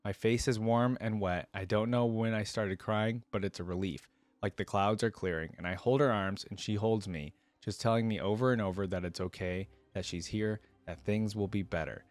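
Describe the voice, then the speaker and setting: A man's voice: fairly low pitch, fairly even intonation, moderate pace, no room sound. A man reading a story aloud as a reaction host, with informal, slangy asides, recorded close on a microphone.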